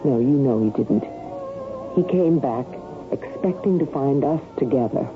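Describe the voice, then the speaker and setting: An actress playing an anxious, affectionate wife with a secret in a radio drama, speaking dramatically and often repeating herself. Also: female voice, very deep voice, expressive, unhurried, music in the background. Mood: anxious, pleading. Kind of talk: old-time radio drama acting. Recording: narrow-band, noisy old radio broadcast.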